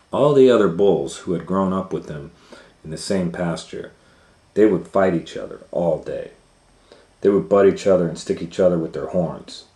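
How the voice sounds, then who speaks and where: A man's voice: low-pitched, darkish tone. A man reading a children's story aloud in a conversational way, explaining things and putting questions to his listeners as he goes. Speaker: storytime reader and educator. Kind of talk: animated children's read-aloud with asides. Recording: echoey room, faint noise.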